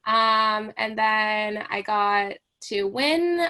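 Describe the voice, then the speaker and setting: Young woman from Hong Kong, radio guest, chatty and filler-heavy, mid-pitched voice. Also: very slow, bright, forceful tone. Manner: chatty, many fillers and "right?" tags. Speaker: recreation therapist guest. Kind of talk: casual radio interview. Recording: radio studio interview.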